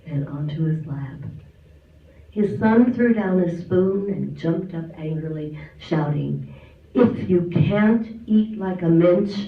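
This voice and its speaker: A man tells a story aloud at a slow, deliberate pace in a fairly high voice. He is recorded from far off in an echoey hall, with a thin sound. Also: vocal fry, narrow, tinny audio. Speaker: reader at an amateur reader's theater. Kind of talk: storytelling, read aloud on stage. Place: clubhouse hall stage.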